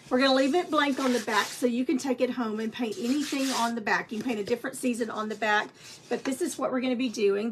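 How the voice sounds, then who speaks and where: Woman, slightly raspy, higher voice, live craft teacher, faint hiss. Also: fairly bright tone. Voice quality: friendly, chatty, many ums and restarts. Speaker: painting instructor and shop owner. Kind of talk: live painting stream, chatty demonstration.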